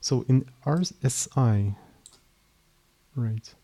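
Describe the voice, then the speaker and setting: A young man with a Slavic accent talks through code on a live stream, his voice mellow and darkish. He is worn out, halting and trailing off mid-sentence as he thinks aloud. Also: medium pitch. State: mentally tired. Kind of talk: casual live coding stream.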